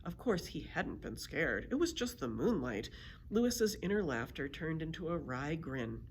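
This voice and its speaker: A woman reading a children's storybook aloud as a librarian, in an expressive storytelling manner. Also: low voice, normal speed, a little hiss or hum.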